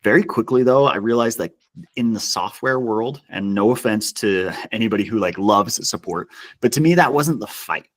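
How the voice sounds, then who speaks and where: Low-voiced man chatting in an interview. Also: normal speed. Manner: self-deprecating, chuckles as he talks. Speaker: podcast guest, customer success executive. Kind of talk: casual interview chat.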